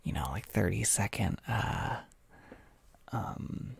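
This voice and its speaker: An American man hosting a casual livestream, talking softly and hesitantly into a close microphone, a little short on sleep. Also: lowish voice, animated, takes it slowly, creaky, slightly rough, no room sound. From United States. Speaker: livestream host.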